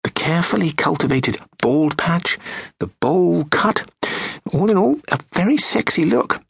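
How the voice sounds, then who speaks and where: A British man narrating an audiobook in a sardonic, profane, deadpan comic style. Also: measured pace, gravelly, breathy edge, no room sound. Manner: lively, wide-ranging pitch. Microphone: close studio mic.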